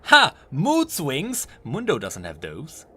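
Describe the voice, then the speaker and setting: A man's voice: on the high side, wildly swooping pitch, takes it slowly, pushed and bright, husky. A man hosting a video, giving scripted commentary on a game character in an explainer style. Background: music.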